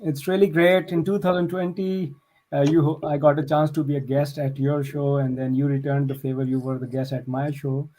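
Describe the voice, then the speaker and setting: A man's voice: a little high, little pitch movement, brisk, warm tone, poor audio. A man, a tech entrepreneur from Pakistan, speaking as a friendly, earnest guest on a video call.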